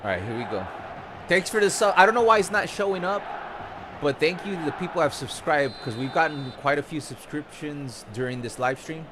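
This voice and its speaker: A young man from Costa Rica with a slightly high voice, talking casually through a live football video game match. He explains moves to his viewers in an easygoing, friendly way. A faint room hum sits under the recording. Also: animated, brisk. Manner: casual and chatty, coaching the viewers. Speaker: gaming streamer. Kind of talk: live gameplay commentary. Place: home-style room, not his own home.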